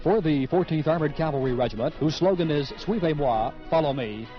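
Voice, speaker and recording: An American man narrating a scripted military radio program in a measured, formal announcer style. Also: on the high side. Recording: dull lo-fi vintage radio broadcast. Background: music.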